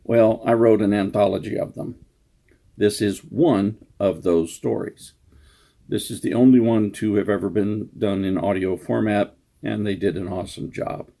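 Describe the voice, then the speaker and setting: A man speaking casually in a room, introducing their own writing in a halting, meandering, self-deprecating way. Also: lowish voice, steady tone, vocal fry, warm tone. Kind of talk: casual rambling vlog intro. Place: indoor room.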